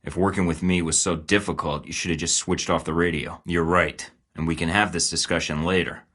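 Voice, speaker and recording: A man's voice: low voice, little pitch movement, a little fast, fairly bright tone, husky. An actor playing a blunt, sarcastic male spy agent in video game dialogue, with dry, clipped lines. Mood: terse.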